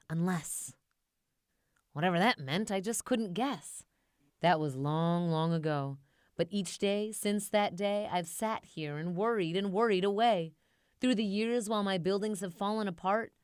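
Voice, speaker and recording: A woman narrates a children's storybook in rhyme with expressive delivery. Her voice sits at a medium pitch, and the recording is dry and close. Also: clean tone, no echo. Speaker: storybook reader.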